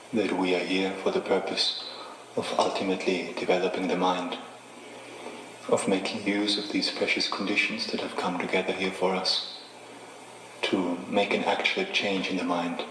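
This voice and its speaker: Deep male meditation guide, unhurried and gentle, lots of room echo, bit of noise behind him. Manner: unhurried, gently instructing with pauses. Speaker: meditation teacher. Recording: echoey reverberant room with faint noise.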